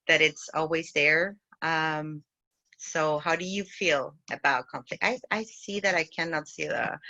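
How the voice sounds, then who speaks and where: Bright-voiced Chilean woman on muffled call. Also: low voice. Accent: Chilean Spanish. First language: Spanish. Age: middle-aged. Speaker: workshop facilitator, cooperative development consultant. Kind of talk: informal online presentation. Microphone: video call. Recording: muffled, lo-fi video call audio.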